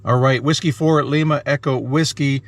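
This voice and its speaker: A man's voice: medium pitch, fairly even intonation, normal speed, background noise. A man casually narrating as an amateur radio operator, switching between explanation and phonetically spelled contest calls, recorded inside a car. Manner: spells out call signs and numbers in phonetic alphabet.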